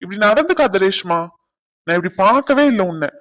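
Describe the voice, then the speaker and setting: A woman voicing a grandmother who gently coaxes and questions her granddaughter. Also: mid-range voice, animated, fairly quick, voice pushed down, lightly acted. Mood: reproachful. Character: soft probing grandmother.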